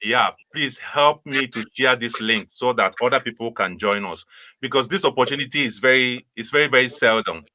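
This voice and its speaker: A man's voice: mid-range voice, normal speed, pushed and bright, no room sound. A young adult man, hosting a casual interview on a video call with friendly questions. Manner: friendly, laughing, plain questions. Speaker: host. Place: indoors, small room with red wall. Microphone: webcam mic on video call.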